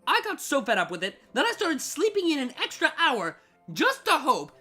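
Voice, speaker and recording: A haughty siren woman acted by a female reader in a storybook tale, sarcastic and easily exasperated. Her biting delivery swings widely in pitch over faint music. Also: wildly swooping pitch, normal speed, bright, forceful tone, heavily acted. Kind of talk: storybook fan fiction reading.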